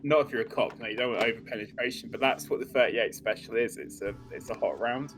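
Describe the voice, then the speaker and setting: A man's voice: mid-range voice, fast talker. Dryly joking man, casual game banter over music. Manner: dry joking, swears casually. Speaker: gaming video co-host.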